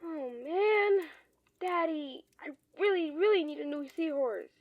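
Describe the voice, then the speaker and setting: A woman's voice: very high voice, a little slow, no echo. A woman playing a sweet, pleading fifteen-year-old daughter over a phone in an audio drama. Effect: speakerphone filter. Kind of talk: naturalistic audio drama acting. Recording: dull, muffled phone call.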